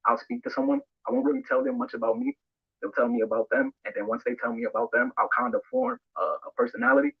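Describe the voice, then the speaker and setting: A man's voice: high-pitched, creaky, breathy edge. A man giving candid, matter-of-fact interview answers about himself, somewhat hesitantly. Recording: dull lo-fi played-back audio.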